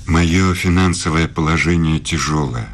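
An actor playing a courtly, self-assured middle-aged philosophy professor in a radio play. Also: male voice, rumbling low, moderate pace. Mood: grave, formal.

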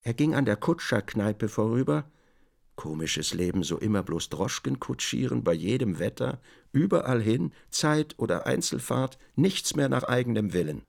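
An actor playing a literary storyteller, narrating a radio drama in a creaky voice. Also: male voice, mid-range voice, big pitch swings, moderate pace. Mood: measured storytelling. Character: literary storyteller, also voicing the protagonist's brief remarks.

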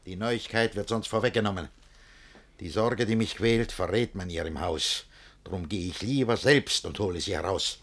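An actor playing a jealous, blustering master of the house in a rhymed radio comedy, theatrical, swinging between brooding mutters and bellowed orders. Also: male voice, low voice, normal speed, fairly bright tone. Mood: suspicious, commanding.

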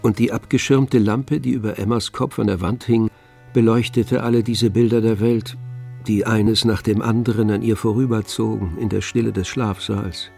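Gravelly male radio-play narrator. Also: mid-range voice, a little mellow. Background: music.

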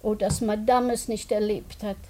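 An actress playing a talkative, devoted and sentimental housekeeper in a German radio drama, chatty and emotive. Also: female voice, mid-range voice, steady tone, moderate pace, darkish tone, lightly acted.

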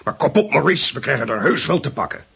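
An actor playing a smug, affectionate criminal mastermind in a radio play, gravelly and suave, patronising in manner. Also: male voice, medium pitch, big pitch swings, fast talker, very noisy. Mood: smug, approving.

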